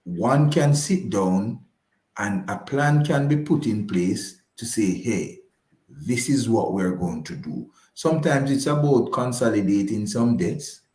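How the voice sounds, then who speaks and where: A man's voice: fairly low pitch, expressive, measured pace, a little mellow, roomy sound. A man giving conversational advice as a radio guest, emphatic and repeating key phrases to reassure. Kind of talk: conversational advice on a live broadcast.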